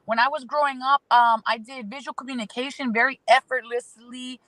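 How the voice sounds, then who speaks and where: A woman's voice: sits high, steady tone, fairly quick, fairly bright tone, no room sound, low-quality recording. A woman appearing as an astrology guest, giving spiritual advice in an emphatic way that stacks phrases into lists.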